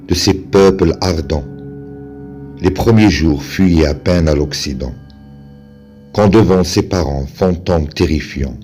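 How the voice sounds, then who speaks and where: A man with a very deep voice recites verse solemnly over music. His delivery is slow and declamatory, leaving weighty pauses between short phrases. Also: mellow. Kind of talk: solemn dramatic poetry recitation.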